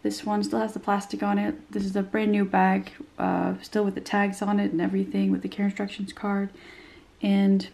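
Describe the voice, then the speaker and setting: A woman's voice: medium pitch, completely flat delivery, moderate pace, vocal fry, darkish tone. A young woman reviewing a handbag in a chatty, descriptive way, a bit nasal as she recovers from a cold, recorded in a small room. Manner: chatty and descriptive, many fillers. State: slightly nasal after a cold. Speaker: bag reviewer. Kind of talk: casual product review.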